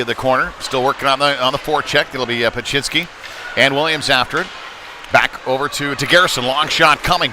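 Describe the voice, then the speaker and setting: A man doing live hockey play-by-play in a folksy, chatty way that lifts with the action. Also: on the high side. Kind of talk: live sports commentary with casual asides. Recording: noisy live rink ambience.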